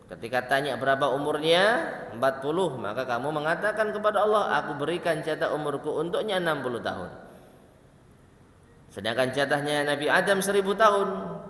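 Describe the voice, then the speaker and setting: Male preacher, fairly high voice, slow Arabic recitation, brisk explanations, echoey hall. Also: fairly bright tone. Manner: recites Arabic slowly, then explains it in quick asides. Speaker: Islamic preacher. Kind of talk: religious study lecture.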